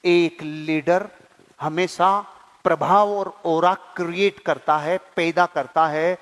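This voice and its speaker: A man's voice: a little high, fairly even intonation, takes it slowly, a bit bright. A man giving an emphatic motivational seminar speech, repeating key phrases and putting rhetorical questions in colloquial speech sprinkled with English words. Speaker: motivational speaker and network marketing leadership trainer. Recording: long hall reverb.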